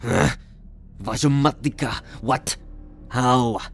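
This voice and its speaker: Man voicing a bitter, gruff alien hoarder. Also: medium pitch, slow pace, a little hiss or hum. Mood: indignant, bitter. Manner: gruff, indignant, haggling. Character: shady, bitter green male alien collector, grieving widower. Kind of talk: ASMR roleplay audio drama.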